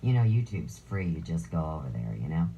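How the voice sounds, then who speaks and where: A woman with a low voice hosting a live stream, reading viewer comments aloud with sarcastic, crude jabs. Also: big pitch swings, fairly quick, dark tone, lo-fi sound, a little hiss or hum.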